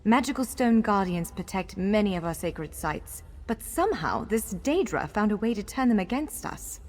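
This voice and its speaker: A woman's voice: mid-range voice, lively intonation, normal speed, darkish tone, natural voice. A woman playing a courteous, wry elf scholar guiding someone in a video game, articulate and formal yet playful. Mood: concerned. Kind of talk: video game character dialogue. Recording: long hall reverb over music.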